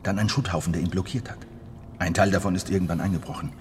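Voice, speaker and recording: Man acting a patient criminal insider, deep, animated delivery, assured, radio drama, faint background noise. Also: quick pace, close to an everyday voice. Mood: assured explaining. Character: confident male heist planner, patient insider criminal.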